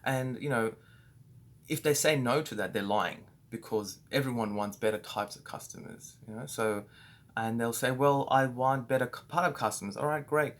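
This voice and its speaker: A man's voice: mid-range voice, normal speed. An Australian man giving a casual, rambling training talk to his staff, full of fillers and restarts. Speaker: business owner training staff.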